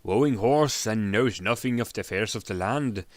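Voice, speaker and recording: A man's voice: fairly low pitch, moderate pace, no room sound, natural voice. A man voicing a proud barbarian prisoner, declaiming indignantly in a fantasy story reading. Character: proud, defiant male barbarian warrior prisoner.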